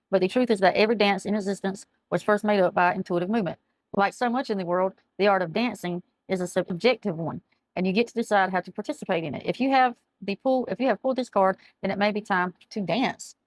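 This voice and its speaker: A middle-aged woman, chatting through a tarot reading and reading aloud from a card book, her voice going hoarse as she often loses her words. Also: medium pitch, no echo. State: losing her voice. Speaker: tarot reader. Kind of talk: casual tarot reading to camera. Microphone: camera mic, speaking to camera.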